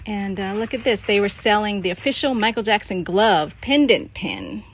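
A faintly noisy handheld phone recording of a middle-aged American woman. She talks casually and nostalgically at a medium pitch, poking gentle fun at herself as she shows things off. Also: sing-song, normal speed. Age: late 40s. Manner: chatty, nostalgic, self-deprecating. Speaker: vlogger showing a personal scrapbook. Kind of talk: casual show-and-tell commentary.

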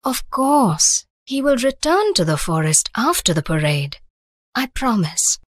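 A woman's voice: mid-range voice, very animated, measured pace, no echo, clean studio sound. A woman voicing a finely dressed young palace lady in a children's story, happy and reassuring.

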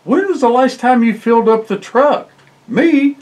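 A man's voice: extremely high pitch, lively intonation, measured pace, a little mellow, pitched above the performer's own voice. A man reading a children's storybook, voicing mean, boastful cheating twins who taunt and squabble. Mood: bickering.

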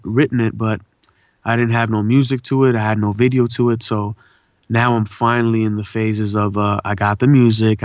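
A man's voice: fairly low pitch, no room sound. Laid back socal guy with urban swag, talks earnest, says man a lot, kinda muffled dry audio. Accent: Southern California urban. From India. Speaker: guest voice actor and poet. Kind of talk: casual interview chat.

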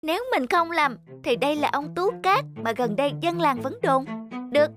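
A cheeky little village boy for a cartoon. The voice is extremely high and bounces around in pitch, with music clearly behind it. He teases with confident, mock-polite cleverness. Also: animated, normal speed.

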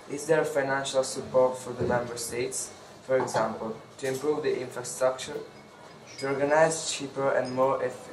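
A man's voice: medium pitch, noisy background. A young man, a student interviewer, reading out prepared questions. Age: teens to young adult. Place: café, indoors. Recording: echoey, distant, music behind.

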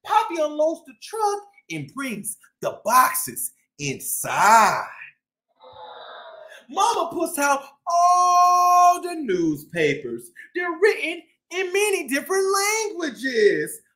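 Busy, boastful boss cat for a picture book, performed by a man, high-pitched, very animated, friendly and chatty. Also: slow pace, exaggerated voice. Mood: busy, proud. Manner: boastful, exclamatory storybook narration.

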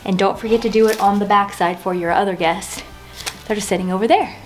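A woman's voice: medium pitch, steady tone, brisk, soft background music. A woman in her forties hosting a chatty home decor vlog, talking through a table setting in her dining room with a camera across the table. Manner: chatty, bubbly, self-teasing. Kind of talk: casual how-to vlog.

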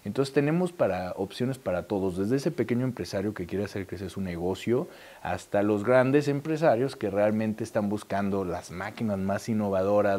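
A man in his thirties with a Mexican accent speaks in a low, mellow voice. He explains his event fluently and persuasively, dropping in small fillers. The sound is clean studio audio from a lapel mic. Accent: Mexican Spanish. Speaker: event organizer. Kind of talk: studio interview.

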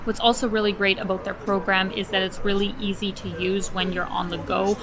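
A woman's voice: mid-range voice, level tone, a little fast, music in the background, rough recording. A young woman, a native Russian speaker, chatting candidly into a handheld camera at an outdoor cafe, with frequent laughs. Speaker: travel vlogger. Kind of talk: casual travel vlog talk. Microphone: camera held at arm's length.

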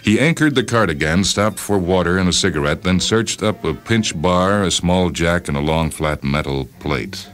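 Male narrator, extremely low bass voice, for dramatic short-story reading with deliberate pauses, music underneath. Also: fairly bright tone. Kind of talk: scripted narration of a science fiction short story. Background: music behind the voice.

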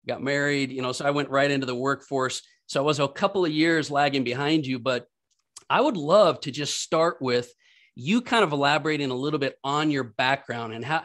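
A man's voice: a little high, brisk. Rambling male podcast host. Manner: rambling, repeats words, warm affirmations. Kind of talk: casual interview.

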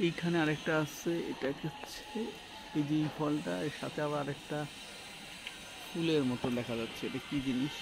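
A man's voice: fairly high pitch, normal speed. A man with a Bangladeshi accent chatting casually as a vlogger, musing aloud and asking questions while filming outdoors on a handheld phone. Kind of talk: casual spoken commentary while filming plants. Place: outdoors on a rooftop garden. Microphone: handheld phone behind the camera. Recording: phone recording with clear outdoor ambient noise.

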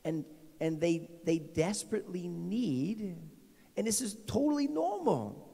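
A middle-aged pastor with a New Jersey accent gives a chatty homily into a handheld microphone, full of restarts and questions to the pews. His voice sits a little high, yet it sounds mellow and gravelly. A long church reverb trails after his words. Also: sing-song, unhurried. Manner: informal, repetitive restarts, asks the congregation questions. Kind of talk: conversational homily. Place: large decorated church sanctuary.

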